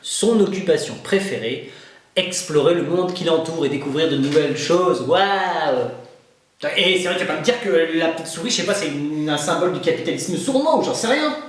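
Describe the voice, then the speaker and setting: A man's voice: on the high side, animated, fairly quick. A man talking casually and exclamatorily in a conversational comedy sketch. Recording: very echoey, distant mic, faint noise.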